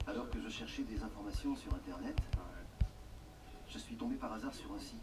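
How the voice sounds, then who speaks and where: A man tells his story calmly in a reflective documentary voice-over. The noise of a clear crowd runs underneath. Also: lowish voice, brisk.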